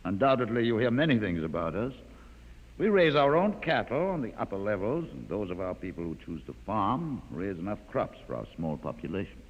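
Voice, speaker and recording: An actor playing a calm, educated mutant leader, courteous but menacing, with measured formal speech in a 1950s radio drama. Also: male voice, lowish voice. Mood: composed, proud. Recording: muffled vintage radio, long reverb.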